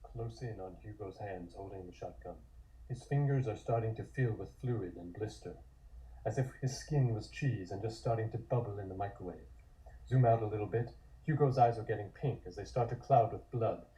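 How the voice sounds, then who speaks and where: A man's voice: fairly low pitch, moderate pace, warm tone, low-quality recording. A man reading scripted horror stage directions as the narrator of a table read.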